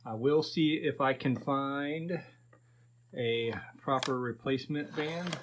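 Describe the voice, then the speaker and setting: A man's voice: mid-range voice, takes it slowly, a little hiss or hum. A man in his fifties casually narrating a repair to viewers, thinking aloud in a folksy way. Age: 50s. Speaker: host of a repair video channel.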